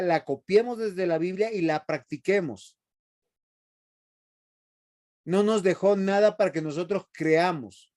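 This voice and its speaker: A man teaches a Bible class in a classroom, his voice sitting a little high for a man. He lectures in a preachy tone and keeps asking the students if they are following. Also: a little mellow. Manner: preachy, asks the class questions.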